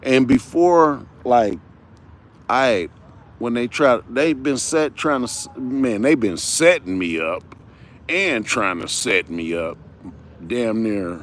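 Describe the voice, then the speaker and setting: Unwell man of fifty, livestream host, loose slangy ramble, unhurried with a lively pitch. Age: 50s. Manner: rambling, street slang, repeats himself. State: unwell, tired. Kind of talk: casual rambling livestream talk.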